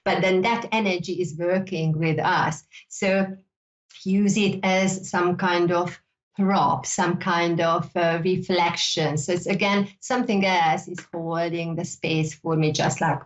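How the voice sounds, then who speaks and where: A woman's voice: on the low side, steady tone, unhurried. A woman with a Hungarian accent, native speaker of Hungarian, hosting a psychic show and teaching in a loose, rambling way, often repeating words and adding "you know". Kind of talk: casual teaching talk. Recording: dull lo-fi sound.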